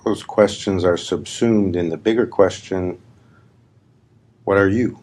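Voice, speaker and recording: A man leading a guided meditation as a spiritual teacher, speaking in deliberate, emphatic, repeated phrases with long pauses. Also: low voice, a little mellow, a little hiss or hum. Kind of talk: slow guided meditation talk. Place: live gathering.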